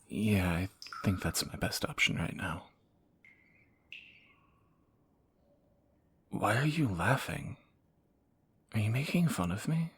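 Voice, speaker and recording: A man playing a groggy fantasy assassin in an intimate romantic audio roleplay, husky and halting, with wry understatement. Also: fairly low pitch, fairly bright tone, heavily acted. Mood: flustered. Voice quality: gravelly, husky morning voice. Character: groggy male fantasy assassin, softening captor, flustered and teasing.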